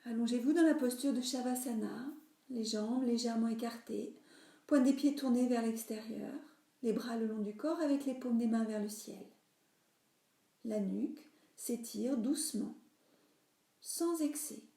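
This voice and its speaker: A woman guides a relaxation practice in a roomy, echoing recording. Her voice sits a little high yet stays mellow. She instructs soothingly, letting phrase endings soften into breath. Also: measured pace, gravelly. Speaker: yoga nidra teacher.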